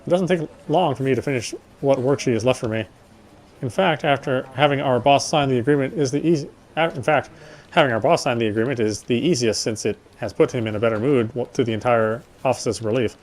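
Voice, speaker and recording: A man with a medium-pitched voice reads story text from a game aloud. He is casual about it, drops in his own comments and now and then trips over a line and rereads it. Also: fairly quick. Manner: stumbles and rereads lines. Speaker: gamer reading a visual novel aloud. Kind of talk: reading game narration with casual asides.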